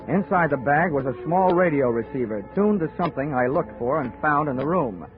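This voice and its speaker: An actor playing a wry, tough, slangy insurance investigator in a 1940s radio detective drama. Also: male voice, a little high, close to an everyday voice. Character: wry, hard-boiled male insurance investigator. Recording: tinny 1940s radio recording.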